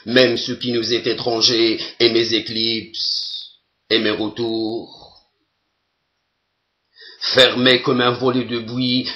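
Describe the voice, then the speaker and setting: Slow, even-toned man reciting poetry. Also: medium pitch, dull audio. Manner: measured, deliberate, with long pauses between phrases.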